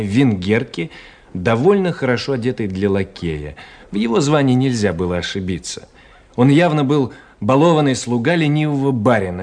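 An actor playing a cultured gentleman traveler, giving measured, literary narration and dialogue in a radio play. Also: medium pitch. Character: cultured young gentleman officer, traveling writer telling his story.

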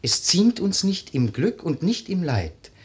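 An actor playing an earnest, admiring young gentleman and friend in a verse radio drama, speaking thoughtfully. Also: high voice, very animated, unhurried. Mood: gently urging. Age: young adult.

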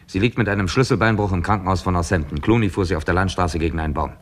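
An actor playing a calm, methodical, old-fashioned police chief in a 1960s German radio crime play, polite and probing. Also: male voice, low voice. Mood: calm, composed. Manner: measured, polite, probing questioning. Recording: noisy 1960s radio drama recording.

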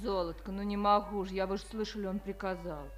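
An actress playing a tender, artless young army nurse with an affectionate manner in 1950s Soviet radio theatre. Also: female voice, medium pitch, steady tone, brisk, faint music behind, natural voice. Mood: tender reluctance. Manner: affectionate, cooing endearments and protests.

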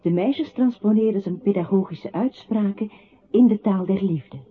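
An actress playing a narrator in a poetic radio play, reciting in a measured, detached way. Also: female voice, medium pitch, husky, lightly acted. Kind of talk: literary radio drama recitation. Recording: thin, phone-like old radio-play recording.

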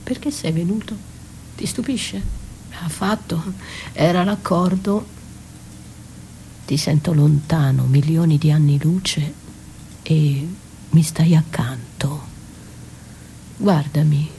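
An actress playing a wounded, betrayed wife in a theatrical monologue, bitter and defiant, with halting, intense delivery. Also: female voice, low voice, dark tone, a little hiss or hum, poor audio. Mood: hushed, intimate. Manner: dramatic, halting, intense, dropping to breathy whispers. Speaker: stage actress performing a solo.

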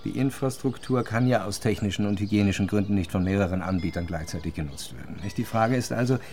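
An actor playing a smooth-talking, unruffled water company chief in a naturalistic radio drama. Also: male voice, lowish voice, quick pace, darkish tone, lightly acted. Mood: smooth, businesslike. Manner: corporate jargon, tag questions, unruffled. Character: smooth-talking, self-assured male water company CEO.

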